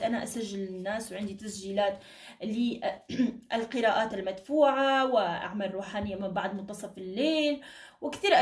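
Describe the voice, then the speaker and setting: Woman, fairly high voice, slightly tired, reassuring fortune-teller, roomy echoing sound. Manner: reassuring, uses endearments and blessings. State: tired, strained voice. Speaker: card reader, channel host. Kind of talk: informal fortune-telling reading to camera. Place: indoor room at a table. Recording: echoey room, distant microphone.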